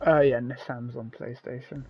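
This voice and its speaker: A hoarse teenage man whose voice is almost gone. He commentates a sim-racing event and then slips into loose, filler-heavy chat as the stream host. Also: medium pitch, fairly even intonation, normal speed, highs cut off, a crowd in the background. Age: teens. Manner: shouts calls at the finish, then reads results and chats loosely with fillers.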